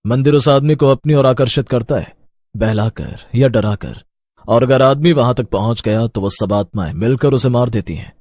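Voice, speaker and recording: A man voicing a solemn villager who gives ominous warnings in an animated horror story. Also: medium pitch, moderate pace, no room sound, studio quality, natural voice.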